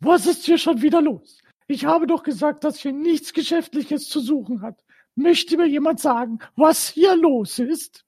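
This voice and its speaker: A woman's voice: high-pitched, unhurried, darkish tone, no echo. A woman voicing a stern, strict mother who scolds.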